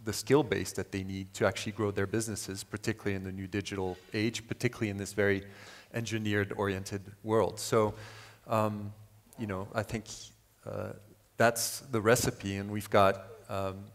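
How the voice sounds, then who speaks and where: A man from Switzerland speaking as a panelist, measured and in long qualified sentences, at a conference. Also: fairly low pitch, little pitch movement. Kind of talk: panel discussion. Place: conference hall. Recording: reverberant conference hall.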